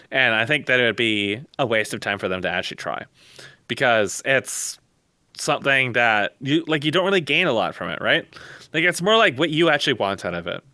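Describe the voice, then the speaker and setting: A man's voice: mid-range voice, expressive, brisk, bright, forceful tone, no echo. A man in a casual opinionated discussion on an online voice call, rambling, blunt and emphatic. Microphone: close mic on an online voice call.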